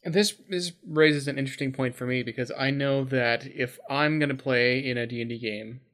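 A man's voice: mid-range voice, steady tone, moderate pace, fairly bright tone. A man from the United States co-hosting a casual podcast, thinking aloud in a musing way with many fillers. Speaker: podcast co-host.

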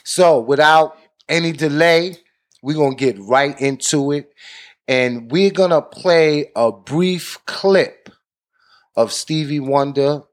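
A man hosting a podcast, giving deliberate commentary in a preaching tone. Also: on the high side. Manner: deliberate, preaching tone, frequent "you know". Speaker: podcast host.

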